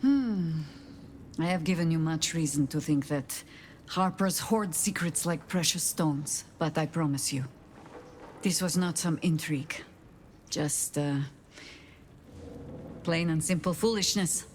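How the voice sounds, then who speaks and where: A woman playing a proud, wry older spymaster in a game, speaking in a measured, dry, commanding way. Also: low-pitched. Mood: regretful, earnest. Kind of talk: dramatic game dialogue. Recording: background noise and ambience.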